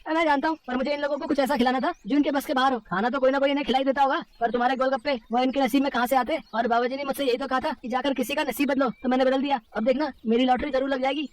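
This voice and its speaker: An eager, good-hearted young man from a cartoon fable, put on by an actor. The voice is high and very quick, chatty and excitable. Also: no echo, natural voice. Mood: breezy, confident. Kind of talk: animated Hindi moral story dialogue.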